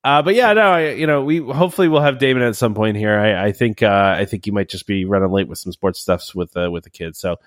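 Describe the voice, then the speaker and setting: A man's voice: on the low side, quick pace, few pauses, no room sound, clean studio sound. A man in his thirties with a nasal voice and a cold, hosting a casual podcast chat and rambling with lots of fillers and restarts. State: has a cold. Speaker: podcast host.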